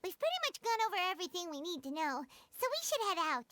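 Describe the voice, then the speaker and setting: A woman's voice: very high-pitched, very animated, quick pace, a bit bright, no room sound, heavily acted. A woman voicing a small childlike fairy companion in an anime video game, earnest, curious and given to exclaiming. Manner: talks of herself in third person, exclaiming.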